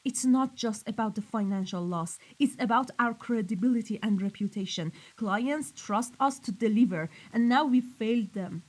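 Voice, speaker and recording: A woman's voice: on the high side, moderate pace, hardly any pauses, natural voice. Woman acting a cold, menacing female mafia boss. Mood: cold anger. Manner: commanding, stern, accusatory. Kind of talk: scripted audio roleplay drama.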